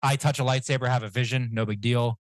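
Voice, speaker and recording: A man's voice: mid-range voice, steady tone, quick pace, no echo. Joking, rambling man guest. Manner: jokey, rambling storytelling with self-mocking asides. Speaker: guest comic writer. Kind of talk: casual podcast chat.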